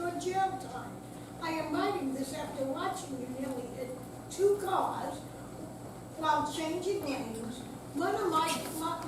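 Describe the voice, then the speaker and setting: A woman plays a stern female police officer with a high voice and a deliberate, official manner. It is a live staged reading in a very echoey room. The mic is distant and the noise is clear. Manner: formal, firm, deliberate. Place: senior center hall, live performance.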